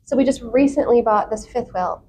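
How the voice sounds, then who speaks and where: A woman chatting casually as an interview guest, with an excitable, enthusiastic manner. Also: fairly high pitch, normal speed, slight background noise. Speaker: talk show guest.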